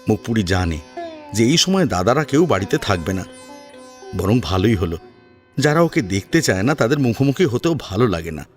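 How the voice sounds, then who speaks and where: A man narrating a story in a dramatic audiobook style. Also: lowish voice. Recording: sitar music behind the voice. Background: plucked sitar music.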